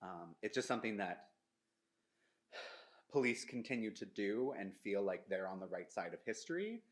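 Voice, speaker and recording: A man's voice: on the low side, sing-song, normal speed. A man speaking reflectively in a group discussion, tentative and searching for words. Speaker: panelist.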